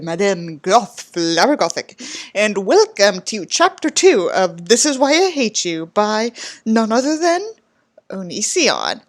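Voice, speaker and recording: A woman hosting a comedic reading with theatrical, sardonic commentary. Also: mid-range voice. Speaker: host of a comedic reading show. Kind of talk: sarcastic commentary on a dramatic reading.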